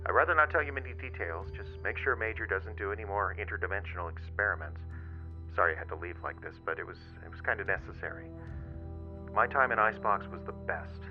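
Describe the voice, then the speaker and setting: An actor playing an urgent, guilt-ridden male time traveler in an audio drama, speaking in a halting, emphatic, earnest way. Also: lowish voice, lo-fi sound, soft background music, natural voice. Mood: resolved, subdued.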